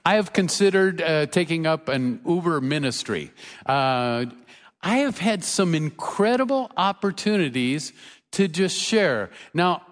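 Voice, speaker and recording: Older pastor preaching in an echoing hall. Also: male voice, fairly high pitch, lively intonation, unhurried. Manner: earnest preaching, rising emphasis, with soft storytelling asides. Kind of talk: sermon. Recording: reverberant church hall.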